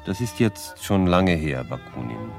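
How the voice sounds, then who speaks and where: An actor playing a solemn reciter of a poetic lament in a radio drama, speaking in spare, halting phrases. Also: male voice, low-pitched, big pitch swings, creaky, full character voice. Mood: solemn, elegiac. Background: violin music.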